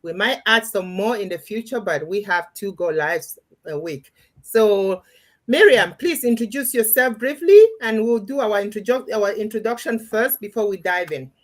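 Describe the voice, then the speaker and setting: A woman's voice: medium pitch, lively intonation, moderate pace. Chatty woman hosting a live panel. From Cameroon. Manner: chatty, warm asides to viewers. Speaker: host of a live panel show.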